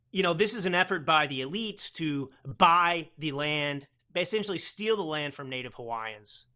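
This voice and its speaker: A man's voice: fairly high pitch, pushed and bright. A man hosting a political commentary podcast, talking in a sarcastic, mocking, rambling way with frequent restarts. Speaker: podcast host.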